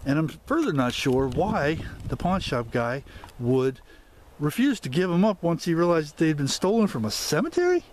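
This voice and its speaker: A man's voice: a little high, very animated, normal speed, a little mellow, slight background noise. Rambling man filming in the woods. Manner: rambling, many fillers and restarts. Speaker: explorer vlogger. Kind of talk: walk-and-talk vlog narration. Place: dense overgrown woods outdoors. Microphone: handheld camera mic while walking.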